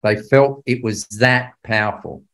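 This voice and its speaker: A man's voice: lowish voice, measured pace, gravelly, fairly bright tone. An older man giving a Bible class history lecture in a conversational, emphatic way, often putting rhetorical questions to his listeners.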